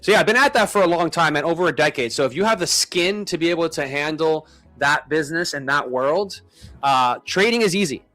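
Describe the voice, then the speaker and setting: A man's voice: a little high, lively intonation, brisk, sharp tone. A man of thirty-nine chatting casually as a live stream host, with informal, slangy bro-talk. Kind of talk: casual chat.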